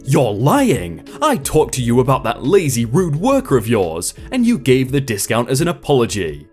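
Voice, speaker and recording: Male narrator voicing an obnoxious woman shopper, shrill and accusing. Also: wildly swooping pitch, moderate pace, a little mellow, soft background music.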